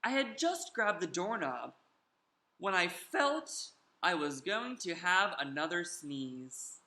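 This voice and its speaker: A bright, forceful voice reads a children's story aloud with theatrical emphasis. The pace is slow and deliberate, and the room adds a noticeable echo to the home recording. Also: mid-range voice, sing-song. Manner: theatrical, drawn-out emphasis, invites listeners to join in. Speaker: storyteller reading a picture book aloud to children. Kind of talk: animated children's story reading, performed in first person.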